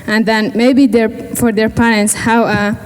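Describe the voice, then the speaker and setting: A woman's voice: a little high, flat delivery, measured pace, long reverb tail, rough recording. A teenage girl from Afghanistan, giving a personal speech at a gala and often searching for words in English. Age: teens. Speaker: student speaker. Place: large event hall.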